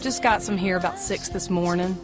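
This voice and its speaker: A woman's voice: lowish voice, fairly even intonation, brisk, lightly acted. A woman playing a plain-spoken, folksy diner waitress in her thirties in an amateur audio play. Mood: weary, brusque. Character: weary mid-thirties female diner waitress, brusque, turning starstruck fangirl. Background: music.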